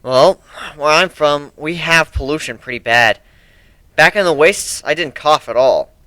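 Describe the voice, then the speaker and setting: A man's voice: on the high side, a little slow, bright, forceful tone, dry recording. A man voicing a wistful, kind male griffin in a dramatic reading, talking in a hesitant, reflective, conversational way. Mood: wistful, calm. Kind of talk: dramatic reading of a fan story.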